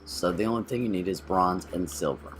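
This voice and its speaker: A man's voice: low voice, a little fast, a little hiss or hum. A man co-hosting a gameplay video, thinking aloud as he plays and correcting himself mid-sentence. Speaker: gameplay channel co-host. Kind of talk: casual gameplay commentary.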